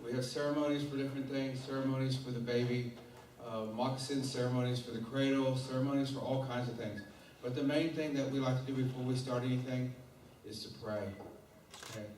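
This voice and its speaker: A man's voice: mid-range voice, steady tone, brisk, a little mellow, slight background noise. Man speaking in a very echoey hall. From Mescalero Apache. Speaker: performer and storyteller. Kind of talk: live talk to an audience. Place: lecture hall before an audience. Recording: echoey hall mic heard from far off.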